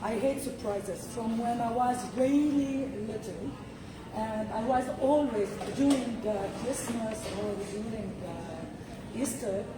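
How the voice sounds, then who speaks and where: A woman's voice: fairly high pitch, slow, far from the mic. A woman giving an impromptu personal answer as a contestant at a speaking event. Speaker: contest participant. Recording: echoey room from afar, noisy.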